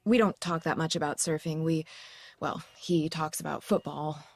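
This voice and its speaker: A woman's voice: sits low, moderate pace, creaky, no echo. An actress playing a blunt, wry young woman recovering from an accident, in an audio drama.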